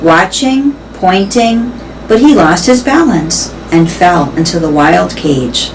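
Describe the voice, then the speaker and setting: A woman voicing a wise, weary old female elephant who tells stories in a gentle, measured, thoughtful way. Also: on the low side, lively intonation, slight background noise, low-quality recording. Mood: measured, wistful.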